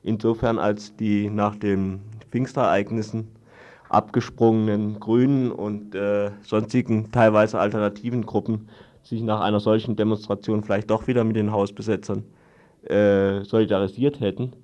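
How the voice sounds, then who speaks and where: A man giving an unscripted radio report, explaining things haltingly in long-winded sentences. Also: fairly low pitch, flat delivery, dark tone. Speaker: guest reporting on a court trial.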